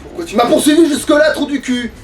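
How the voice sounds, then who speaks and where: High-pitched man gaming, crude and sweary. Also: fast. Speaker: let's player playing a video game. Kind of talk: casual gaming commentary. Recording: distant mic, music behind.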